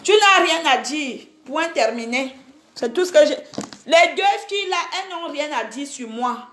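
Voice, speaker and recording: A woman's voice: sits high, expressive, moderate pace, a bit bright. Indignant woman scolding, echoey room. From Cameroon. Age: 40s. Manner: indignant, scolding, repeats herself. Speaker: social media personality. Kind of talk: angry Facebook live rant.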